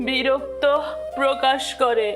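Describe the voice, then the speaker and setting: Woman doing a sad old mum from a cartoon, high voice, slow and pleading, music playing loud. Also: little pitch movement. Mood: weak, pleading. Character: frail, neglected old village mother, loving and sorrowful. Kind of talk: melodramatic cartoon voice acting.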